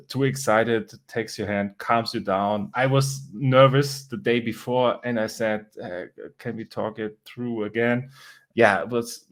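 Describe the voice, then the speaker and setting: German-accented man, low voice. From southern Germany. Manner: relaxed, halting, many fillers and restarts. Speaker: guest. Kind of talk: casual interview chat.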